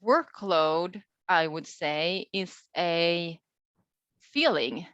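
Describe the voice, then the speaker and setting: A woman researcher answering questions in an informal webinar, speaking thoughtfully and hesitantly with pauses mid-sentence. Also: fairly low pitch, expressive, a bit bright, no room sound. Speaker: researcher presenting a study as webinar guest. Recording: dull, lo-fi online call audio.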